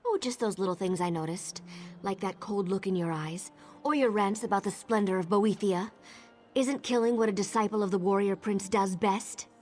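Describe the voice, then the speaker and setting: A woman's voice: medium pitch, moderate pace, darkish tone, faint music behind, natural voice. A woman playing a bitter, jaded younger sister who left a cult, guarded and sarcastic, in video game dialogue. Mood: wary, sardonic.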